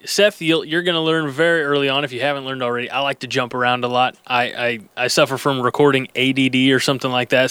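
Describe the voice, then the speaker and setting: Rambling, halting male interviewer, clear and dry. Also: on the high side, a bit bright, dry recording. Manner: rambling, halting, many restarts and fillers. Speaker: host. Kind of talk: interview questions.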